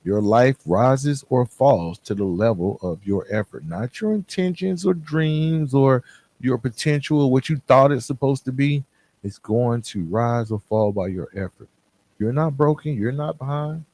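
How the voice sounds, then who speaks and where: A man's voice: mid-range voice, animated, a little slow, darkish tone. A man hosting a podcast, speaking in an emphatic, plain-spoken motivational style straight to the listener. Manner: emphatic, repeats and contrasts phrases, plain-spoken. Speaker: podcast host. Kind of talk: motivational monologue addressed to listeners.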